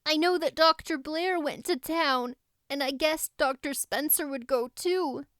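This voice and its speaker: A woman's voice: high-pitched, a little slow, fairly bright tone, no room sound, studio quality, voice pushed up. A woman voicing a frightened young girl in an audiobook, anxious and imploring. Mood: despairing, tearful. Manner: anxious, imploring, breathless delivery. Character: frightened, pleading young girl, a nervous best friend.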